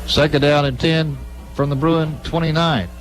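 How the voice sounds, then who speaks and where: A man's voice: fairly high pitch, steady tone, moderate pace, soft background music, low-quality recording. A man announcing live football play-by-play on the radio in clipped, running phrases. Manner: clipped, present-tense running description. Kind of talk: live radio sports commentary.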